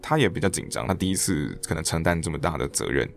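Low, fast-talking man with a creaky voice. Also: lively intonation, soft background music. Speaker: podcast guest, theatre director and actor. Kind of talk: casual interview chat with anecdotes.

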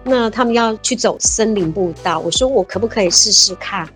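A woman in her fifties speaks Mandarin with a Taiwanese accent at a middle pitch. She reminisces earnestly, with warm exclamations breaking into her storytelling. Faint music sits low behind her. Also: a little fast. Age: 50s. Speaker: interview guest.

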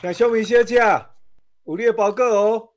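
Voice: mid-range voice, fairly even intonation, moderate pace, a little mellow, slight background noise, natural voice. A performer playing a friendly, businesslike parcel courier in a radio drama, colloquial, with a Taiwanese accent.

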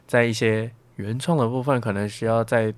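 A man's voice: medium pitch, steady tone, moderate pace, dry recording. A young man as a student guest in a casual radio interview, explaining things reflectively and repeating words as he goes. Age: young adult.

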